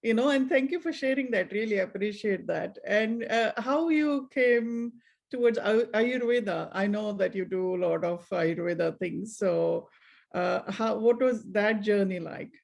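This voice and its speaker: A woman hosts a podcast in a casual, conversational style. Her voice sits at a middle pitch and has a clearly creaky, fry-laden texture. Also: a little slow, darkish tone. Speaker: podcast host.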